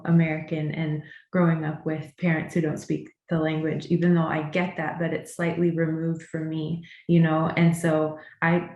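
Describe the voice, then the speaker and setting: Low gravelly woman in an echoey room. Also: a little mellow. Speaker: therapist panelist. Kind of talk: panel discussion.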